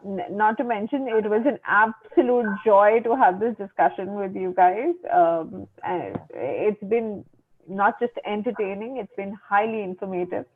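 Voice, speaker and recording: A woman's voice: mid-range voice, unhurried. A woman moderating an online panel, who speaks encouragingly and repeats her words. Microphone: video call. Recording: tinny phone-like video call line.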